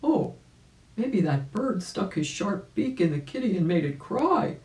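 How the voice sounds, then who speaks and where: A woman voicing an expressive old gentleman rabbit, echoey room. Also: lowish voice, unhurried, voice pushed up. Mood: indignant, surprised. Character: old gentleman rabbit, rheumatic, mild and determined traveler. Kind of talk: children's storybook character reading. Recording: roomy, echoing sound.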